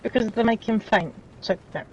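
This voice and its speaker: A woman in her forties, hoarse and losing her voice, chatting playfully and teasing while gaming on a stream. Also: a little high, brisk, darkish tone, lo-fi sound. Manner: playful, teasing, coos at animals. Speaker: streaming co-player. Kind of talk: casual chat while gaming.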